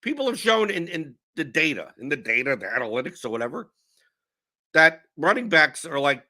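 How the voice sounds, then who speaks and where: A young man, hoarse with a sore throat, hosting a casual fantasy sports livestream, rambling and cutting himself off. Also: on the high side, brisk. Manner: animated, rambling, self-interrupting. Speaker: host of a fantasy sports stream.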